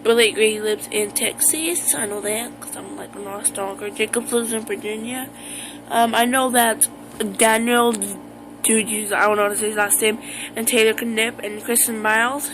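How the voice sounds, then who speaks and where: Higher-voiced American woman, rambling vlogger. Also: steady tone, over music, poor audio. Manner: rambling, informal, halting. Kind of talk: casual personal vlog talk to viewers.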